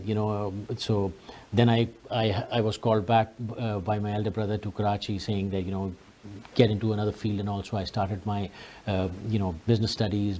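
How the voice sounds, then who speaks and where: A middle-aged man with a Pakistani accent tells his story as a guest on a talk show. His voice is fairly low and noticeably mellow. He speaks courteously, in a conversational way. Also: slight background noise. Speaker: interview guest.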